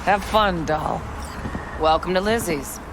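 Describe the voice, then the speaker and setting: A man's voice: sits high, slow, background noise. A man playing a brash, leering club door greeter in a video game, with a cocky, drawling delivery. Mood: cocky, teasing. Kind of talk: cinematic video game voice acting.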